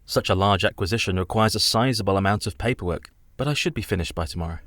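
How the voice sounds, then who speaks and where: A man plays a polite young solicitor in a radio drama. The voice sits a little low, with careful, formal diction and an earnest manner. The recording is dry and close. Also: fast talker, fairly bright tone, dry recording, lightly acted. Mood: deferential. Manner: formal, precise, courteous. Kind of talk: radio play acting.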